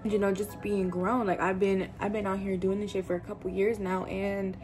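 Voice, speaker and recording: A woman in her twenties talking candidly into the camera in her bedroom while doing her makeup, cursing casually and filling every pause with "like". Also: medium pitch, flat delivery, a little mellow, faint music behind. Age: 20s. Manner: chatty, candid, swears often, lots of "like". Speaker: vlogger. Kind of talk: casual get-ready-with-me chat. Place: bedroom, indoors. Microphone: camera-facing, doing makeup at a desk.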